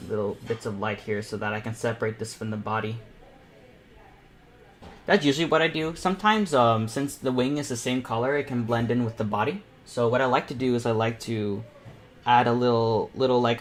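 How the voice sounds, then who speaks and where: An eighteen-year-old man hosts a painting panel, talking casually and informally, rambling and thinking aloud as he works. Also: medium pitch. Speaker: self-taught artist hosting a painting panel. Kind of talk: casual live painting tutorial chat.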